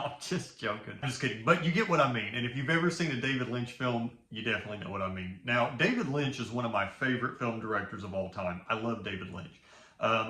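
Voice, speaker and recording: A man's voice: mid-range voice, bright, forceful tone, echoey room. A man with a Southern US accent chatting about films in a casual home vlog, rambling and joking at his own expense. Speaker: film vlogger and filmmaker. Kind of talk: casual film-ranking vlog. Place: home office with the window open.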